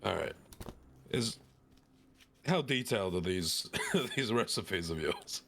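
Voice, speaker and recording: A man with a gravelly voice chatting casually in character as a player in a tabletop roleplaying game. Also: on the low side, animated, takes it slowly, a bit bright, a little hiss or hum.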